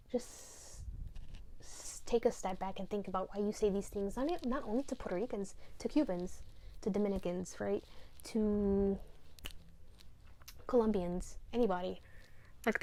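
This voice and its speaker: A young woman talks through her thoughts in a soft, mellow voice, hedging with fillers and slipping into near whispers. It sounds like a casual chat filmed in a bedroom by a camera set up facing her. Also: mid-range voice. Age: young adult. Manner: reflective, many fillers, drops to a near whisper at times. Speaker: podcast co-host. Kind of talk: candid personal chat. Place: bedroom, seated on a bed.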